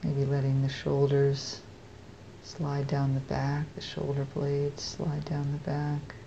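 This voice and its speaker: An American woman leading a guided meditation as a teacher, speaking softly and unhurriedly with long pauses. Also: a bass voice, completely flat delivery, gravelly, muffled recording, a little hiss or hum.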